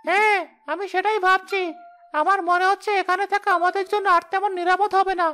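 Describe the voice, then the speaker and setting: A woman's voice: very high-pitched, flat delivery, fairly quick, pushed and bright, faint music behind. A woman voicing a placating, reassuring tree-dwelling ghost in a Bengali cartoon story.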